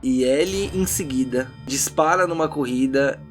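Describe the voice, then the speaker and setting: Male game master narrating over soft music. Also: mid-range voice, unhurried. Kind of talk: dramatic tabletop game narration. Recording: background music under the voice.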